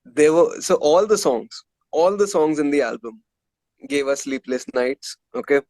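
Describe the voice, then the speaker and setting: A man's voice: fairly high pitch, lively intonation, unhurried, darkish tone, dry recording, poor audio. Indian-accented man, emphatic, closely recorded. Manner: emphatic, repeats phrases for stress. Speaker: metal band guitarist and composer, interview guest. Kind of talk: candid interview chat.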